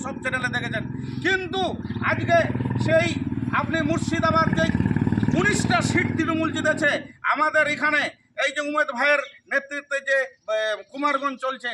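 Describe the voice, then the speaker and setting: A man's voice: very high-pitched, bright, forceful tone. A middle-aged man giving an impassioned, argumentative political talk as a local activist, emphatic and full of rhetorical questions. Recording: distant mic, faint ambient noise.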